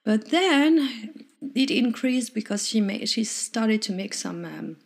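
A woman's voice: on the high side, takes it slowly, creaky, breathy edge. A woman, an English speaker from Morocco, chatting through a personal story with many restarts. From Morocco, raised French. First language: French. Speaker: vlogger.

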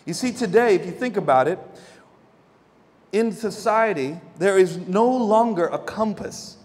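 A man's voice: high-pitched, lively intonation, a little slow, darkish tone, big-room sound. A man from the Philippines, preaching a sermon in a chatty way with homely stories and frequent restarts. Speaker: pastor.

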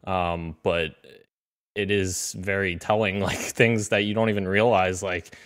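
A man's voice: deep voice, fairly even intonation, unhurried, fairly bright tone. A man co-hosting a podcast, talking casually in a rambling way with many fillers. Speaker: podcast co-host.

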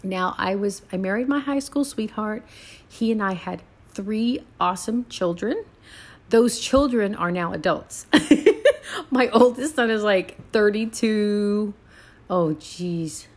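A woman in her fifties from San Antonio, Texas, hosting her own podcast in a chatty, animated way, often tagging her sentences with "okay?". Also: medium pitch, takes it slowly. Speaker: podcast host. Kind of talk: casual solo podcast chat.

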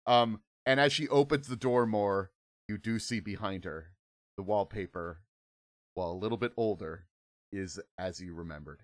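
Man, hoarse, game master, relaxed horror narration, lots of fillers, dry sound. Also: lowish voice, normal speed, no echo. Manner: relaxed, conversational, filler-heavy.

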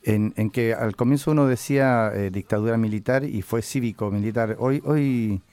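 An older man from Chile, a musician answering interview questions casually, halting and reflective as he searches for words. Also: mid-range voice, darkish tone, clean tone, dry recording.

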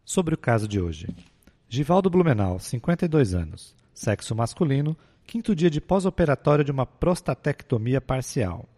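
A man's voice: medium pitch, sing-song, normal speed, warm tone, dry recording. A man with a Brazilian accent, hosting a podcast and narrating a scripted story as a nursing professor. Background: music.

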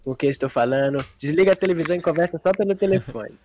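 A man's voice: a little high, racing, a little mellow, slight background noise. Playful young man from Fortaleza. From Fortaleza, Brazil. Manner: playful, slangy, calls people "family". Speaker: podcast host. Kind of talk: casual chat on a livestream podcast.

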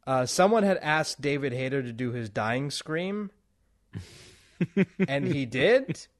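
A man's voice: mid-range voice, expressive, takes it slowly. A man interviewing a guest in a casual chat, telling stories and laughing as he talks. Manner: storytelling, laughs while talking.

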